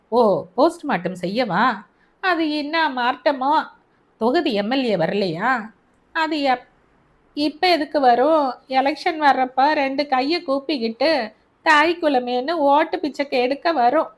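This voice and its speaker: Shrill, lamenting poor old mother, voiced by a woman, bitter and loud. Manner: wailing, complaining, colloquial. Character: grieving, angry poor older mother wailing.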